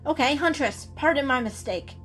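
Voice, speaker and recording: A woman voicing a small, jumpy, suspicious bird with a shrill chirp and sarcastic delivery, in an animal storybook reading. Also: normal speed, soft background music. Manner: sarcastic, excitable.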